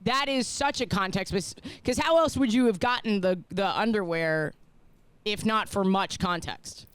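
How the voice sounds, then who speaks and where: A woman's voice: medium pitch, moderate pace, clean tone, dry recording. A woman in her thirties co-hosting a podcast with casual comedic banter and playful, mock-serious teasing. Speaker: podcast co-host.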